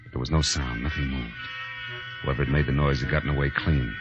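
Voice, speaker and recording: An actor playing a tough, wisecracking private detective in a 1940s radio drama, clipped and slangy. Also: male voice, extremely low pitch, steady tone, fairly quick. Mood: hard-boiled narration. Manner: hard-boiled, clipped, slangy. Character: tough, wisecracking male private detective, world-weary and brisk. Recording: dull 1940s radio recording, music behind.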